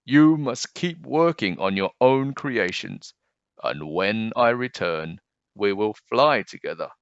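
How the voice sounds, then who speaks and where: A man's voice: fairly low pitch, lively intonation, takes it slowly, smooth. A man voicing an eager, confident inventor friend in a children's storybook, speaking out emphatically. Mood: earnest, hopeful. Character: Leon, eager tinkering inventor friend, hollering encouragement. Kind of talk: children's storybook read-aloud. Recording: dull, roomy home recording.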